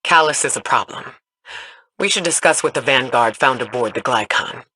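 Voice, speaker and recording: Sci-fi game mentor, firm and composed, crisp bright voice, earnest authority. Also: female voice, very deep voice, expressive, normal speed, full character voice. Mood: serious. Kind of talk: video game voice acting.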